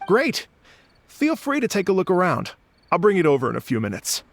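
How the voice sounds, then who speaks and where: An earnest, courteous festival organizer in a video game. He has a fairly high male voice with animated intonation and slightly hesitant phrasing. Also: a little fast, creaky, very noisy, exaggerated voice. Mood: earnest, polite.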